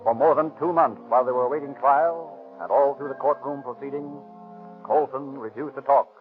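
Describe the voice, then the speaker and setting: A man's voice: medium pitch, steady tone, moderate pace. A man giving formal, dramatic scripted narration for a 1930s radio drama. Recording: tinny 1933 radio recording with music.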